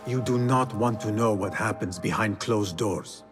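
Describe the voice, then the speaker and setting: Actor as solemn, restrained assassin. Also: male voice, on the low side, a little slow, over music, lightly acted. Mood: guarded. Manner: grave, restrained. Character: solemn, determined young male assassin. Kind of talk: dramatic video game cutscene acting.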